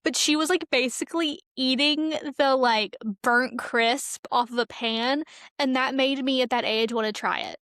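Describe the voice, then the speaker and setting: A woman's voice: high-pitched, steady tone, normal speed, a bit bright, clear, resonant tone, no echo, clean studio sound. A young woman co-hosting a podcast, talking casually about pop culture in chatty, slangy, informal speech. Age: young adult. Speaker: podcast co-host.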